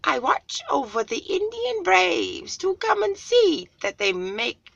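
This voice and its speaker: Storybook great eagle: stern forest guardian, high and loud, very slow proclaiming delivery. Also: female voice, wildly swooping pitch, gravelly, dry recording, highs cut off, heavily acted. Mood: stern, commanding. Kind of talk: storybook read-aloud character voice.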